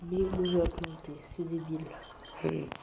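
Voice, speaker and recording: A woman's voice: low voice, little pitch movement, unhurried, vocal fry, background noise. A woman giving casual, rambling commentary on her own gameplay, often mumbling asides under her breath. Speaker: let's play gamer. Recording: dull lo-fi with loud game music. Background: video game music.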